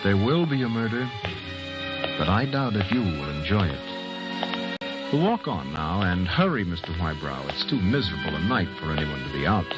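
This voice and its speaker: A menacing male narrator from a vintage radio thriller, low in pitch and swooping dramatically. He is theatrical and insistent, taunting his victim directly. Prominent music plays behind him. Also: very animated, normal speed, poor audio. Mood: ominous. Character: menacing, taunting male narrator stalking a doomed man with words.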